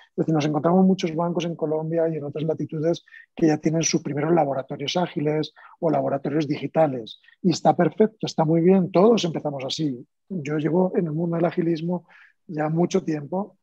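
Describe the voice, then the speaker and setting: A man with a Spanish accent speaks as a panelist in a conversational, animated way, with many fillers and restarts. His voice sits a little high, yet it sounds dark and husky. The audio is dull and dry, like muffled online panel audio. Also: a little fast, dry recording. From Spain. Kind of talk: panel discussion.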